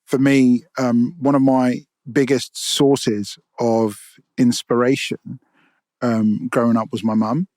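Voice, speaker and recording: A man's voice: mid-range voice, level tone, slow, darkish tone, no echo. A man, a poet and storyteller, speaking as a guest in a casual podcast interview, earnest and often restarting his phrases as he thinks. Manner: earnest, restarts and repeats words while thinking.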